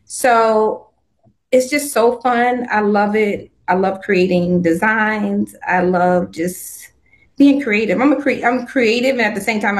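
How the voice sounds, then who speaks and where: A woman in her fifties from Southern California, chatting about her work as a designer in an upbeat way, laughing often and restarting her sentences. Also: medium pitch. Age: 50s. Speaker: guest, swimwear designer. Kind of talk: casual interview chat.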